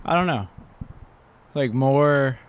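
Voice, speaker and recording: A man co-hosting a casual podcast, with a gentle voice and a reflective, somewhat hesitant way of talking. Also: mid-range voice, slow, smooth. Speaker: podcast co-host. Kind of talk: casual chat.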